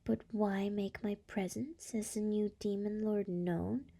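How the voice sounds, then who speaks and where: A seductive female demon lord played by a woman speaks in slow, breathy whispers for an ASMR roleplay. Her soft, dark voice has a creaky edge and a teasing, scheming purr, very close to the mic. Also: mid-range voice, steady tone. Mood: sly, calm. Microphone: close microphone.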